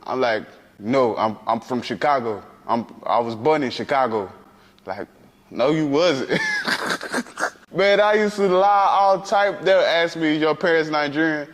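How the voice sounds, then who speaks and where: A man's voice: fairly high pitch, lively intonation, measured pace. Laid-back man in a reverberant space. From United States. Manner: laid-back, laughing storyteller. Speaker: interviewee. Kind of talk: casual storytelling.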